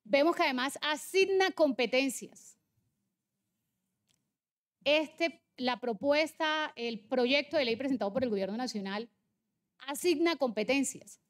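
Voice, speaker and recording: A woman's voice: high-pitched, a little slow, pauses often. A woman in her forties with a Barranquilla Caribbean Colombian accent, delivering an insistent, emphatic political debate speech as a legislator. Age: 40s.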